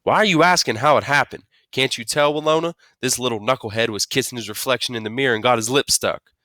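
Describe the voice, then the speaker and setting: A man's voice: medium pitch, fast, pushed and bright, no echo, studio quality, pitched above the performer's own voice, close to an everyday voice. A man voicing an amused father in his thirties who teases wryly in a family story. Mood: teasing. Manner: wry, mocking, suppressing laughter. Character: amused, teasing father aged thirty-five.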